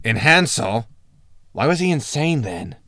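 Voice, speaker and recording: An actor playing a bossy, irritable spaceship captain in a sci-fi comedy audio drama, blunt and impatient. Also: male voice, medium pitch, sing-song, unhurried, bright, forceful tone, no echo. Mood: puzzled. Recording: music under the voice.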